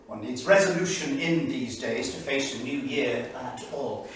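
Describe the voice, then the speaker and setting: An actor playing an erudite, anxious Cambridge don reading his 1938 journal in a literary, deliberate, wry theatrical style. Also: mid-range voice, lightly acted. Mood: reflective. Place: small studio theatre, live staged reading. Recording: very echoey theatre, distant mic, faint noise.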